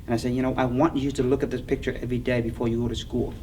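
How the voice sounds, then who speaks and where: A middle-aged man with a Vietnamese accent, speaking earnestly in an oral history interview, halting, with many fillers. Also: mid-range voice, little pitch movement, darkish tone. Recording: distant mic with clear background noise.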